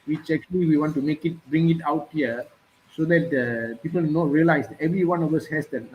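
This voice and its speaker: A man hosting a casual conversation, speaking hesitantly with many pauses and fillers. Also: on the high side, highs cut off, a little hiss or hum.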